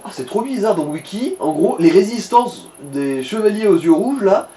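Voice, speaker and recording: A man's voice: high-pitched, lively intonation, moderate pace, warm tone. A man giving casual, laid-back game advice to a friend in crude slang. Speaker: let's play co-commentator.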